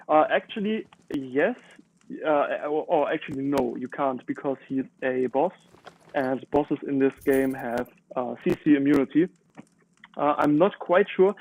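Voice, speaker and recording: A man's voice: on the high side, darkish tone, slight background noise, poor audio. A man with a German accent, a native German speaker, chatting casually and commenting on his own gameplay with many filler words. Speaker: gamer.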